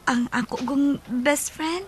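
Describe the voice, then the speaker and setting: A woman with a Filipino Cebuano accent playing a sweet, lovestruck high school girl in a radio drama, girlish and emotive. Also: unhurried. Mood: shy. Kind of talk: radio drama acting and first-person narration. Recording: dramatic music behind the voice.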